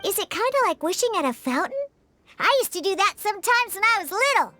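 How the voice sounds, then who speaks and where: A woman voicing a tiny, excitable childlike fairy companion in a video game, squeaky and bubbly. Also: quick pace, soft background music, heavily acted. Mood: curious. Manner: exclamatory, bubbly, chatty.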